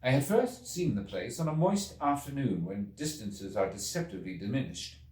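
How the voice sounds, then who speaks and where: A man voicing an earnest Victorian gentleman time traveler recounting his ordeal in a storybook reading, formal, brooding and deliberate. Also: medium pitch, big pitch swings, natural voice. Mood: reflective. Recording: echoey room, distant from mic.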